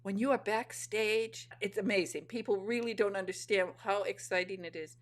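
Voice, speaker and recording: A woman's voice: mid-range voice, fairly even intonation, moderate pace. A woman from Michigan hosting an interview, enthusiastic and gushing about her guest's stories. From Michigan, United States. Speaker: podcast host. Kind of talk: casual interview chat.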